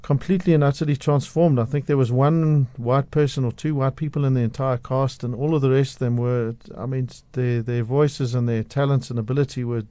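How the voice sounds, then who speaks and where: South african man, radio guest, mellow voice, fired up and outspoken, keeps restarting, dry studio sound. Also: medium pitch, fairly even intonation, brisk, few pauses, no echo. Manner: passionate, outspoken, with frequent restarts. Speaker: radio guest, media analyst. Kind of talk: radio interview. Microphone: studio microphone.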